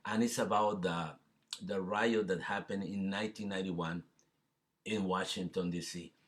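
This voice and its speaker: Salvadoran-accented man, halting and chatty. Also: deep voice, fairly even intonation. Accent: Salvadoran Spanish. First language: Spanish. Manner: halting, repetitive, peppered with "you know". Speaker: guest singer-songwriter. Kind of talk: casual, rambling chat. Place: live video call.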